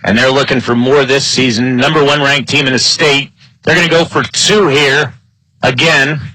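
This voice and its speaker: A man announcing live football play-by-play in clipped phrases, his excitement building on big plays. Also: fairly high pitch, no room sound, rough recording. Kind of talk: live sports play-by-play commentary.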